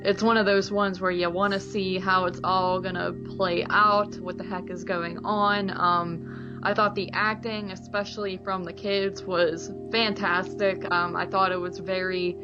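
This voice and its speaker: A woman's voice: fairly low pitch, level tone, measured pace, dull audio, music in the background. A woman co-hosting a movie podcast, giving her take on films in casual, colloquial chat. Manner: informal, colloquial. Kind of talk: casual film review chat.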